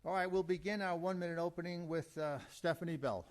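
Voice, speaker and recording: Higher-voiced man moderating a formal forum. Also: fairly even intonation, fast talker.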